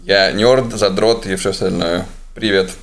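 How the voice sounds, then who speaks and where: A man's voice: low-pitched, steady tone, a little slow. A man in his mid twenties co-hosting a podcast, speaking informally among friends. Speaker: podcast co-host, philosophy student. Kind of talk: casual group podcast chat.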